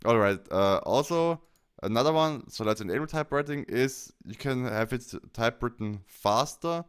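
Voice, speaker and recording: Clear-voiced man with lively intonation. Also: mid-range voice, moderate pace. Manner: explains step by step. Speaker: tutorial presenter. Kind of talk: casual software tutorial narration.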